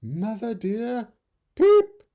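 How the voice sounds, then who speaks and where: A man voicing a frightened baby bird, peeping plaintively for its mother in a squeaky falsetto for a storybook. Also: huge pitch swings, extremely slow, vocal fry, dark tone, dull audio, heavily acted.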